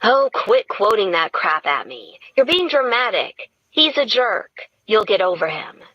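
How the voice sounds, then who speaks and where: Woman doing a sharp-tongued redhead, bright and biting, cheerfully acerbic. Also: mid-range voice, very animated, measured pace, no echo, lo-fi sound, pitched above the performer's own voice, full character voice. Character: brash sardonic redheaded young woman.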